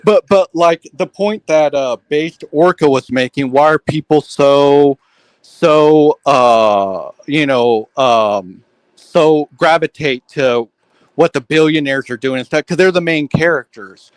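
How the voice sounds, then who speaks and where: Quick-talking man, stammering starts. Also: on the high side, clean tone, no echo, low-quality recording. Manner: quick, repeats starting words, lots of "um" and "like". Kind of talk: casual online group chat.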